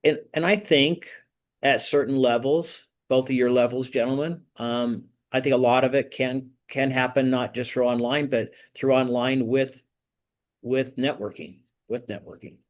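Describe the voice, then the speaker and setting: Man, conversational moderator, halting, repeats words, mid-pitched voice. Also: steady tone. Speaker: career coach moderating a group discussion. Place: online video call.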